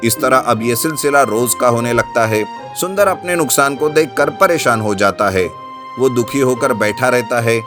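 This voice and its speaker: A man narrating an animated children's story from a script. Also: medium pitch, normal speed. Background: background music.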